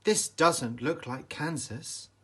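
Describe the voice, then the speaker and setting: A man's voice: a little high, slow, slightly rough, pitched above the performer's own voice, exaggerated voice. A man voicing a plucky, kind young farm girl in a storybook, earnest and wide-eyed. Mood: astonished.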